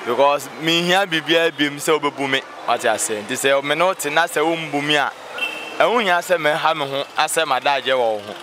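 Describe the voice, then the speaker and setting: Male vox-pop interviewee, Ghanaian accent, slightly high voice, emphatic, clear street noise. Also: brisk. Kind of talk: casual opinionated chat. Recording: noisy outdoor street recording.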